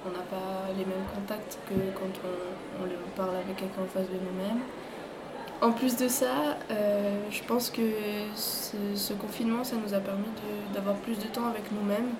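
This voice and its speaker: A woman's voice: mid-range voice, flat delivery, normal speed. A woman answering an interview question, hesitant with fillers. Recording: noisy background.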